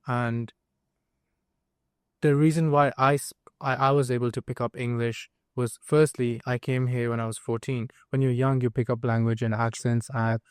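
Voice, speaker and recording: A man's voice: medium pitch, flat delivery, moderate pace, clean tone, dry recording, clean studio sound. A young man from India, a native Hindi speaker, hosting a casual podcast chat in an earnest way and often asking his guest for agreement. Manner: earnest, asks for agreement, many fillers. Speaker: podcast host.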